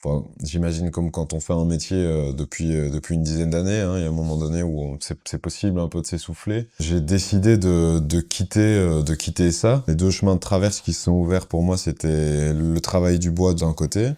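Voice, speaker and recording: A Belgian man in his thirties speaks with a Belgian French accent. His voice is low and very dark and mellow. He talks thoughtfully in an interview, pausing and restarting as he goes. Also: fairly even intonation. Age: 30s. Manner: hesitant, many fillers and restarts, thoughtful. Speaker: carpenter, interview subject. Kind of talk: casual interview talk.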